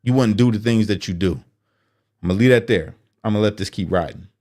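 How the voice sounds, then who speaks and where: A man's voice: on the low side, fast talker, a little mellow. A man hosting political commentary, speaking bluntly and sarcastically as if straight to the person he criticizes. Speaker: commentary host.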